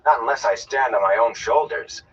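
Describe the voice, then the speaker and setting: Man as a polite storybook farm boy, youthful, earnest, raised pitch, slightly muffled recording. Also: normal speed, recorded from a distance, slight background noise, close to an everyday voice. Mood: amused, modest. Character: polite, earnest young village lads, eager and unsure. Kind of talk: audiobook character voice.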